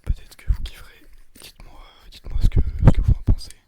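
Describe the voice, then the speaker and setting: A young man whispers breathily into a close microphone in a casual ASMR style, rambling hesitantly and talking himself down. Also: fast talker. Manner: hesitant, rambling, self-deprecating. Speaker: ASMR video creator. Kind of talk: ASMR, casual chat to viewers. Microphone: close, sensitive microphone with occasional breath puffs and bumps.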